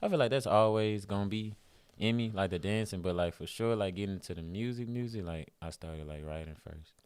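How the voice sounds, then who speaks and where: A man's voice: fairly low pitch, brisk, darkish tone, clean tone, no room sound. A man in his twenties with a Houston accent, chatting casually as a guest in an interview, laid-back and rambling with lots of fillers. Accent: Houston, Texas. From Houston, Texas. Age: 20s. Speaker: guest musician.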